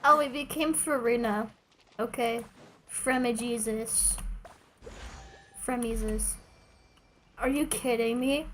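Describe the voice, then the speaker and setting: A woman doing casual, chatty livestream commentary while playing a game, playful and quick to swear. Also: a little high, a bit bright, slight background noise. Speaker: game streamer.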